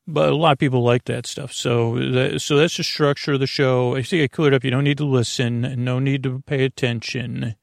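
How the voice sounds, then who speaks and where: Creaky-voiced man rambling gently. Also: medium pitch, no room sound, clean studio sound. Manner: meandering, self-correcting, soft-spoken and reassuring. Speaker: podcast host. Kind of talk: rambling sleep podcast intro.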